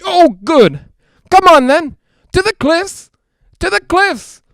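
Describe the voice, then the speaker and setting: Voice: very high voice, expressive, takes it slowly, vocal fry, a bit bright, no echo, studio quality, exaggerated voice. An actor playing a frightened young broadcaster coming unravelled in a haunted house, stammering and rambling breathlessly in a 1940s radio drama style. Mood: unhinged, lonely.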